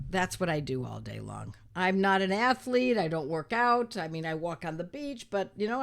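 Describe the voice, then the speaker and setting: An older woman talks through her cold, her voice raspy and a little hoarse. She sits a touch above the usual pitch and lets it rise and fall a lot. She leans hard on each point and repeats lines to drive them home. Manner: animated and emphatic, repeats phrases, talks to viewers as friends. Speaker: homeopathy teacher hosting her own channel. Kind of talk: casual talk to camera.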